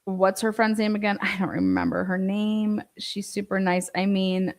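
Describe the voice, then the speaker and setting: A woman's voice: medium pitch, flat delivery, normal speed, gravelly. A woman giving informal true-crime commentary, reading from a transcript and breaking in with pointed, skeptical asides and rhetorical questions.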